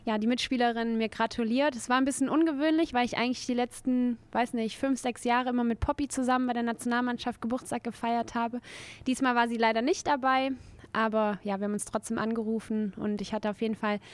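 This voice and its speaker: A woman's voice: on the high side, level tone, fast, smooth. A woman in her thirties from Belgium, a footballer answering interview questions in an easygoing way with many fillers and light laughs. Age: 30s. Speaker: guest, footballer.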